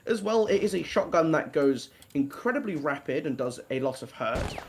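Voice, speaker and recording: A man's voice: fairly high pitch, expressive, normal speed. A man commentating a tactical shooter esports match, chatty and joking with his co-caster between plays. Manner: chatty, self-deprecating banter between plays. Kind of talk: play-by-play commentary. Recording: broadcast with noisy game audio.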